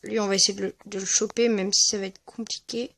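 A gaming video creator giving casual, hesitant and rambling commentary over gameplay, speaking in a medium-high voice. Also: little pitch movement, fast, gravelly, fairly bright tone. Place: at home, voice-over on mobile gameplay recording.

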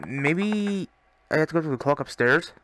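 A man's voice: a little high, animated, moderate pace, a bit bright. A man giving casual let's-play commentary, puzzled and often muttering to himself. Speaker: video game streamer, host. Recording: faint noise, keyboard and mouse clicks.

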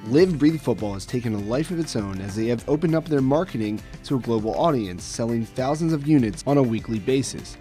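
A man's voice: medium pitch, expressive, warm tone, soft background music. A man narrating a scripted sports news feature as the reporter. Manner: measured voice-over reading.

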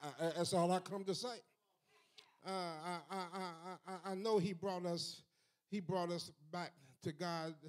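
Older preacher guy on a handheld mic in a church, slow deliberate delivery, gets fired up and repeats himself. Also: sits high, little pitch movement. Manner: slow and measured, building to fervent rising repetition. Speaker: pastor. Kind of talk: sermon. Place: church sanctuary. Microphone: handheld microphone.

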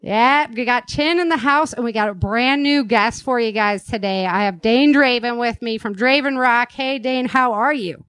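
A woman's voice: a little high, normal speed, few pauses, a bit bright, clean tone, no room sound. A woman hosting a podcast, chatting and interviewing in an enthusiastic, informal way. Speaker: podcast host. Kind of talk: upbeat casual interview chat.

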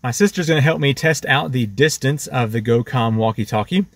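A man's voice: mid-range voice, brisk. An American man in his thirties with a mid-range voice talks through a product review. He is casual and practical, explaining things as he tries them out.

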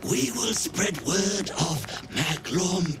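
A fervent fish-creature zealot from a fantasy game. The voice is high and very slow, making grandiose, reverent proclamations over loud music. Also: male voice, expressive, heavily acted. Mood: fawning awe. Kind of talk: fantasy video game acting.

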